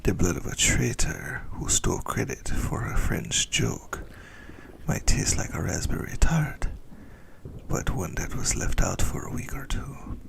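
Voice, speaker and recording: A man playing a possessive, doting ancient vampire in a breathy, whispered ASMR roleplay, teasing and intimate as he savors his words. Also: low-pitched, sing-song, a little slow, full character voice, rough recording. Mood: relishing, intimate. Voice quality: breathy whisper with gravelly creak.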